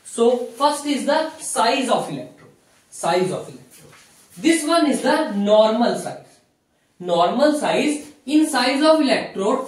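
A young man with an Indian accent gives a lecture, his pitch swinging up and down with emphasis. He repeats phrases and poses questions that he answers himself. The room is very echoey and the microphone sits some way off. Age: young adult. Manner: repetitive, asks and answers own questions. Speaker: physiotherapy lecturer. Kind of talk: whiteboard lecture. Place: small indoor room at a whiteboard. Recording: echoey room, distant mic.

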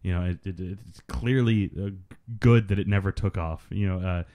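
Male podcaster, lowish voice, casual movie commentary, rambling and nerdy in his explanations. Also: normal speed, dark tone. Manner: rambling, geeky explaining, profane. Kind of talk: casual film commentary chat.

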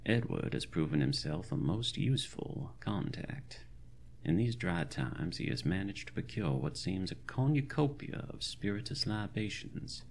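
A pompous old-time country doctor, acted by a man speaks in an extremely low, heavily creaking voice. His florid, wry diary delivery swoops expressively, made for a horror audio drama. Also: a little hiss or hum, full character voice. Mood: self-satisfied, wry.

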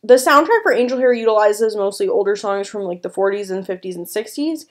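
A woman's voice: mid-range voice, expressive, a little fast. Casual young woman giving commentary. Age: young adult. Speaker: video essayist. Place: small furnished room indoors.

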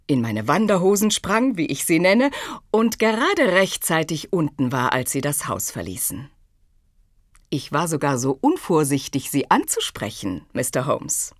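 A woman's voice: on the low side, dry recording, studio quality. An actress playing a clever, self-possessed young woman in a German audio drama, poised, formal and faintly teasing.

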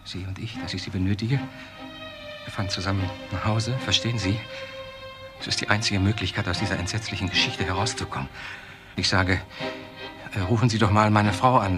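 Actor as desperate, deep-voiced controlling professor. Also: male voice, close to an everyday voice. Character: desperate, controlling professor who confesses to killing his wife. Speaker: actor in a crime radio play. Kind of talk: German crime radio play acting.

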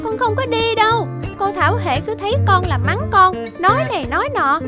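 A woman's voice: very high voice, lively intonation, brisk. A woman voicing a spoiled, lazy young village girl with a whiny, childish manner in a folk-tale cartoon. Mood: whiny, dismissive. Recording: children's music behind the voice.

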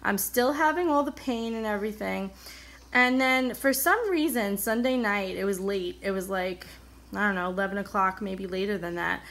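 A woman's voice: mid-range voice, moderate pace, fairly bright tone. American woman chatting with a slight cold. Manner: candid, rambling, acts out remembered dialogue. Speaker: vlogger, coloring channel host. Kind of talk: casual chatty personal storytelling. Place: indoors at a table, coloring on camera.

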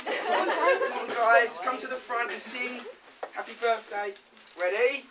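A woman hosting from a stage, loudly urging a live audience along in a projected voice. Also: fairly high pitch, fairly even intonation, unhurried. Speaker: pantomime master of ceremonies. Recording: distant stage recording, noisy crowd.

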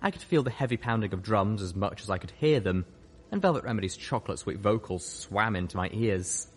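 A man's voice: lowish voice, animated, fairly quick, breathy edge. A man reading a scripted first-person story aloud as an audiobook narrator. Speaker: audiobook reader.

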